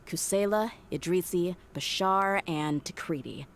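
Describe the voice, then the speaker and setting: A woman voicing a tough, level-headed female mercenary in a video game, measured and matter-of-fact. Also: on the low side, a little hiss or hum. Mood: analytical.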